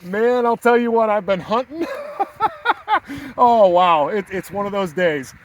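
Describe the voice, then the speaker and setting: A man working a ham radio from a park, calling out call signs in crisp radio phrasing with friendly sign-offs, recorded outdoors. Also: sits high, unhurried, distant mic. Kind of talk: calling out over the air. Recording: noisy outdoor recording from off-mic.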